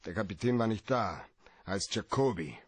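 Husky, terse hard-boiled private eye. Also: male voice, on the low side, expressive, moderate pace, no room sound. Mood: brisk, matter-of-fact. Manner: terse, dry, matter-of-fact. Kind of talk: German radio play acting.

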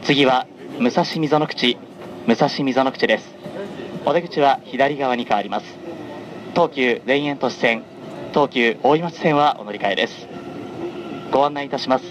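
A man making formal, scripted onboard train announcements in a measured, polite manner, as a conductor does. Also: mid-range voice. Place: onboard a running train, over the announcement system. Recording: clear noise of a moving train. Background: train running noise.

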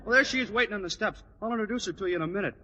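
An actor playing a dim but earnest teenage schoolboy with artless, clumsy speech in a 1940s radio sitcom. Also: male voice, high-pitched, bright, forceful tone. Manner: slow-witted, artless, ungrammatical. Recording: noisy old radio recording.

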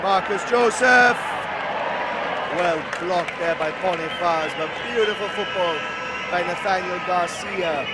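A man giving excitable live play-by-play commentary on a football match. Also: high-pitched, measured pace. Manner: excitable, rising to shouts at chances. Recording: loud crowd noise behind commentary. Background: stadium crowd roar.

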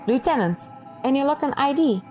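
A woman's voice: sits high, expressive, normal speed, darkish tone, faint music behind. A woman playing an authoritative, businesslike space station commander in an amateur audio drama, giving official orders.

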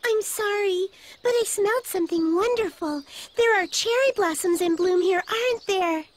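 A woman playing a gentle, polite young girl, a sweet little sister in an anime audio drama. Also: very high-pitched, moderate pace, a little hiss or hum.

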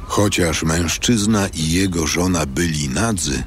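A man narrating scripture from a script in a solemn, measured, formal manner. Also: sits low, a little hiss or hum. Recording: loud music under studio narration.

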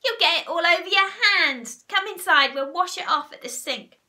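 Woman's put-on bossy storybook wife, very high, wildly swooping, shrieking, gasping, panicky. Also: lively intonation, normal speed, sharp tone, heavily acted. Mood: alarmed, bossy.

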